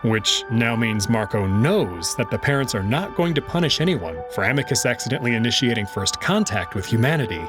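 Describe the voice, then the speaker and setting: A male narrator with a mid-pitched, very animated voice reads and comments on a visual novel. His delivery is expressive, like storytelling. Music plays clearly behind him. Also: a little fast, hardly any pauses. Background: music under the voice.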